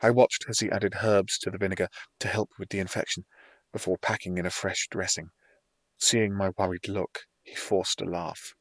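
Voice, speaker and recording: A man's voice: deep voice, fairly even intonation, normal speed, dry recording. A man reading a scripted first-person fantasy audiobook narration.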